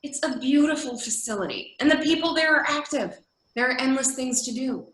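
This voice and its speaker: An actress plays a worried, practical adult daughter in a stage drama. Her voice is sharp and fairly high, pleading and insistent with exasperation, recorded in an echoey room. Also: a little fast. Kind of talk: virtual readers theater acting. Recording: roomy, echoey video call audio.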